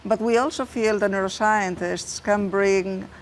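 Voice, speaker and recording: A woman neuroscientist answering questions in a TV interview in English, measured and explanatory, with some fillers. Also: mid-range voice, fairly even intonation, slight background noise, poor audio. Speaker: neuroscientist guest. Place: TV studio.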